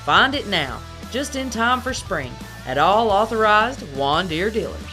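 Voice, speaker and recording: A woman announcer gives a playful, upbeat sales pitch in a mock commercial. Her pitch rises and falls freely, and light music runs faintly behind her. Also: sing-song, a little slow, sharp tone.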